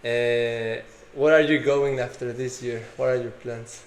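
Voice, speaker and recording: A man's voice: medium pitch, steady tone, measured pace. Male host, echoey room. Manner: friendly questions, short backchannels. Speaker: podcast host. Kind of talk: casual interview.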